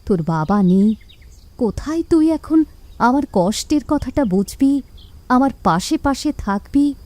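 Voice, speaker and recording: A woman voicing a weary, gentle widowed mother in a village in a cartoon. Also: high voice, lively intonation, measured pace, no echo. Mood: scolding. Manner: pleading, weary.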